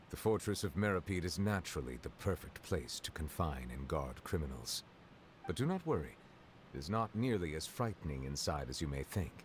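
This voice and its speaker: A very low-voiced, stately male judge from a video game. He is formal, reserved and deliberate, with faint noise behind him. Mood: composed, reassuring. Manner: formal, deliberate, courtly wording. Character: dignified, reserved male chief justice, measured and formal.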